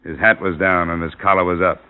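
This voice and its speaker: A man's voice: deep voice, level tone, a little fast, clear, resonant tone, close to an everyday voice. An actor playing a dry, tough, persistent investigator who narrates his own case in an old radio crime drama. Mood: wry narration. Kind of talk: hardboiled 1940s-50s radio narration and acting. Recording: muffled old radio recording.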